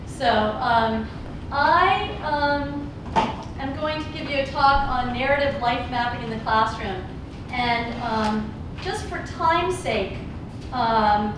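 A woman giving a lecture in a classroom, with a loud voice and frequent um fillers. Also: on the high side, slow pace. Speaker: guest lecturer. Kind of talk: opening a classroom talk. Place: lecture room, indoors. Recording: echoey room from far off, noisy.

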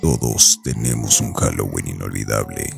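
Very deep gravelly Latin American trailer announcer played by a man. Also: exaggerated voice. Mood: ominous. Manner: slow, ominous delivery. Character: ominous male horror trailer announcer. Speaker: trailer narrator. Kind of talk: dramatic horror trailer narration. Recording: dramatic music behind voice.

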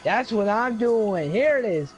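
A man's voice: sits high, expressive, unhurried, low-quality recording. A young man hanging out on a stream, joking and half-singing along to songs. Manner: playful, sings song lyrics loosely. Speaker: friend on the stream. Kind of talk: casual chat, singing along to music. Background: music.

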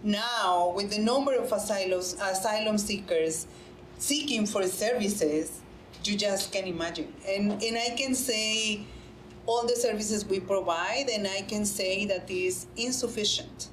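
A woman's voice: medium pitch, a little slow. A woman speaking as a panelist in a conference room, earnest and emphatic, repeating phrases to stress a point. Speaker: panelist, nonprofit director. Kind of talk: panel discussion answer. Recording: roomy conference room with faint hum.

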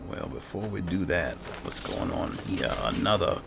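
A man giving dry, unhurried roleplay commentary over a video game, as if talking to himself. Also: sits low, sing-song, creaky. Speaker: gaming channel host. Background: game music.

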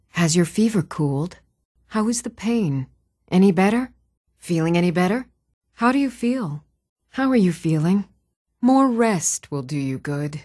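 An actress playing an even-toned townswoman and shopkeeper in a fantasy video game, speaking in measured, clearly enunciated, theatrical phrases. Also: fairly low pitch, no room sound, studio quality. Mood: gentle, caring. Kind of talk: scripted video game voice acting.

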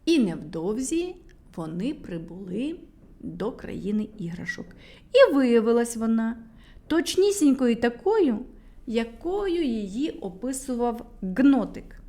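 Female fairy-tale narrator, Ukrainian accent, fairly high voice, very animated delivery. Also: slow. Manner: expressive, lively storytelling. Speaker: storyteller.

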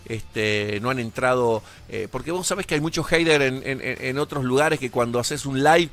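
A man's voice: mid-range voice, normal speed, fairly bright tone. A man, co-hosting a live show from a radio studio, chatty and rambling. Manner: chatty, rambling, gives the call-in number. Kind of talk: casual chat on a live show. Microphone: headset and studio mic.

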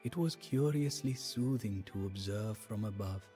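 An actor playing a sorrowful, devout adviser to an Indian emperor, narrating the story in a solemn, measured, theatrical way for a game. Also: male voice, lowish voice, mellow, faint music behind, close to an everyday voice. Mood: calm, reflective. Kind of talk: dramatic scripted storytelling narration.

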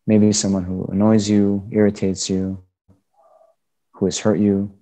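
A man with a low, soft-edged, relaxed voice teaches a guided meditation. He gives gentle instructions off the cuff, in halting phrases, as a Buddhist teacher would to students. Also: poor audio.

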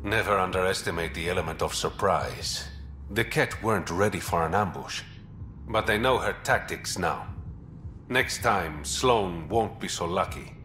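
An actor playing a roguish, smooth-talking young smuggler and spy in a video game, wry, teasing and self-assured. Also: male voice, sits low, measured pace, a bit bright, echoey room, slight background noise. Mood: confiding. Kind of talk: video game character dialogue.

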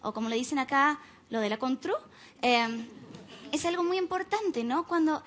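Deadpan stand-up, young woman from the US, Argentine-tinged Spanish, very high voice, faint hiss. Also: moderate pace. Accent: Argentine-tinged Spanish, American. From United States. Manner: deadpan, ironic asides with frequent tag questions. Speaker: stand-up comedian. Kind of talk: stand-up routine. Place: TV comedy club stage with live audience. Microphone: stand mic.

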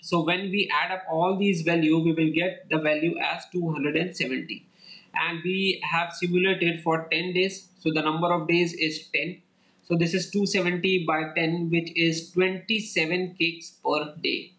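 A man giving a lecture as a voice-over on slides, explaining each step in a steady, repetitive way. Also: on the high side, normal speed, rough recording. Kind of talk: slide-based lecture walkthrough.

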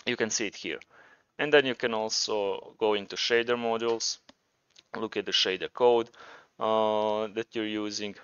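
Low-voiced Croatian man explaining casually. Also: fairly even intonation, normal speed, fairly bright tone, clear, resonant tone, dry recording, muffled recording. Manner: informal, thinking aloud while demonstrating. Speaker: programming tutorial presenter. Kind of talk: casual screencast tutorial narration.

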